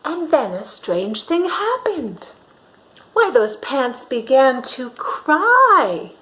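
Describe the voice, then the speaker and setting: A woman reading a storybook aloud to young children in an expressive, dramatic way, pausing to ask her listeners questions. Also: high voice, low-quality recording.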